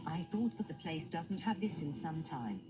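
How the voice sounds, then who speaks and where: A woman playing an alarmed bystander in an animated fan video. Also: deep voice, moderate pace. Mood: disbelieving. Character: alarmed female bystander caught in bridge traffic. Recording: loud music behind the voice.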